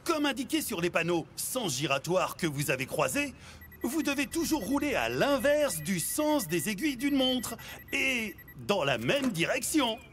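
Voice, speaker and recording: An actor voicing a kindly grown-up talking truck in a children's cartoon, instructive and encouraging, with animated delivery. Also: mid-range voice, a little slow, over music.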